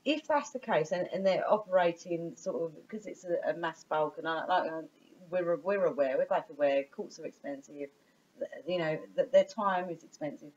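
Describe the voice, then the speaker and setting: A woman on a phone call, informally arguing her point in an insistent, halting way and repeating her phrases in a muffled recording. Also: fairly low pitch, a little mellow. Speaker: caller.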